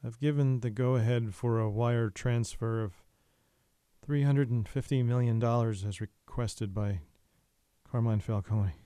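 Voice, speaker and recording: A man playing a spellbound wealthy gentleman, mid-pitched, hazy, hesitant, audio drama, close dry sound. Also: steady tone, clear, resonant tone, no room sound, natural voice. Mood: formal, detached. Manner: halting, stumbling repetitions. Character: wealthy man entranced, hazy, halting, under mind control.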